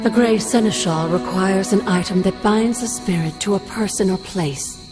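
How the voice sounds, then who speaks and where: An actress playing a wise, caring sorceress spirit mentor with measured, solemn delivery in a video game cutscene. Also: female voice, mid-range voice, lightly acted. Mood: grave, urgent. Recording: music behind the voice.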